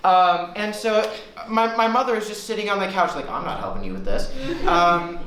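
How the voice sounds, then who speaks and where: A man's voice: sits high, lively intonation, normal speed, bright, forceful tone, a little hiss or hum. A young man telling a rambling, self-deprecating stand-up story at a student open mic. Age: young adult. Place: student forum room. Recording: echoey room from far off.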